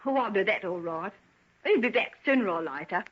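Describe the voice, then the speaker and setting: A husky-voiced housekeeper in a vintage radio play. She is bitter and guarded, answering in a blunt, scornful, evasive way. The recording is dull and lo-fi. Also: mid-range voice, expressive, fairly quick. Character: bitter, guarded working-class woman housekeeper. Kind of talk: 1940s radio acting.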